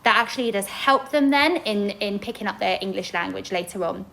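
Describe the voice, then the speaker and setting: A woman's voice: mid-range voice, expressive, a little fast, vocal fry, sharp tone. A young adult woman from Poland, a native Polish speaker and an inclusion teacher, earnestly explaining language learning to parents. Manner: earnest, with occasional restarts. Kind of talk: podcast-style school presentation for parents.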